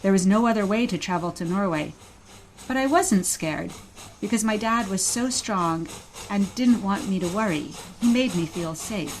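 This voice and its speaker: A woman acting a gentle, patient mother who tells stories of her childhood in a scripted radio play. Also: mid-range voice, animated, moderate pace, slight background noise, lightly acted, poor audio. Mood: patient storytelling. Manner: patient, storytelling.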